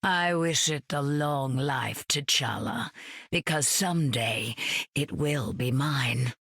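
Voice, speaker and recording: A regal, sly queen of the dead for a video game. She draws her words out very slowly with imperious menace. The recording is close and dry. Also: extremely low pitch, a bit bright, breathy edge, dry recording, exaggerated voice. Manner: drawn-out, menacing, imperious delivery. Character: regal, sly woman ruling a realm of the afterlife, coveting empires. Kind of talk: video game character voice acting.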